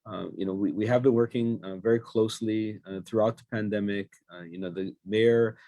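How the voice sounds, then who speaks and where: A hoarse man, a county managing director giving hesitant remarks at a virtual town hall. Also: lowish voice, steady tone, brisk, warm tone. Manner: hesitant, repeats words.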